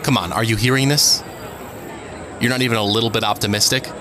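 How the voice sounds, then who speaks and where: An actor playing a cautious, hopeful police officer in an audio drama, earnest and questioning. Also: male voice, mid-range voice, steady tone, fairly quick, crowd noise, natural voice. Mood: curious, hopeful. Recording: outdoor city noise behind. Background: urban street ambience.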